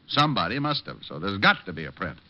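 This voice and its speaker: An actor playing a breezy, wry private detective who narrates and wisecracks in an old radio drama style. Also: male voice, low voice, very animated, quick pace, full character voice. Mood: brisk, wry. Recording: muffled old radio recording.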